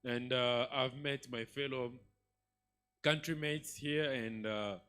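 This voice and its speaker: A man with a Congolese accent and a medium-pitched voice speaks off the cuff to an audience. He moves haltingly from point to point as an attendee giving feedback. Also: fairly even intonation, fairly bright tone, clean tone. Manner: halting, pausing between points. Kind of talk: impromptu public remarks.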